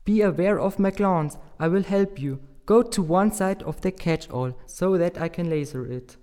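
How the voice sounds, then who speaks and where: A woman's voice: on the low side, normal speed, mellow, clear, resonant tone, in a large hall, voice pushed down, natural voice. A woman voicing a bold male animal hero with claws who gives urgent, commanding orders. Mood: reassuring.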